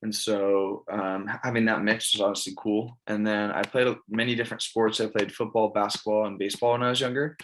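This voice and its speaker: A man's voice: on the low side, level tone, a little fast, few pauses, a little hiss or hum, low-quality recording. A man, giving an informal spoken presentation in a plain, matter-of-fact, casual way. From California, United States. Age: early 20s. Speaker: college student presenting an assignment.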